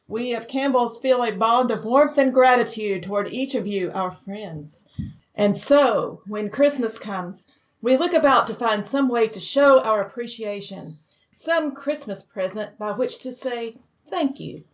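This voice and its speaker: A woman's voice: a little high, animated, a little slow. A woman reading a scripted vintage radio sponsor announcement in a formal, gracious manner. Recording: dull vintage radio recording.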